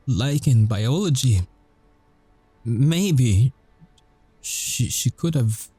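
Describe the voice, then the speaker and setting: A man playing a weary, confessing werewolf ex-agent in an intimate audio roleplay, speaking haltingly with deliberate pauses. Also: lowish voice, mellow, slightly rough, no room sound, full character voice. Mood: grieving, resigned.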